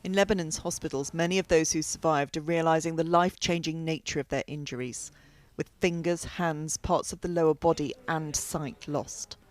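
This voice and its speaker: A woman's voice: low-pitched, fairly even intonation, normal speed, dry recording. A woman delivering a scripted television news report as a correspondent.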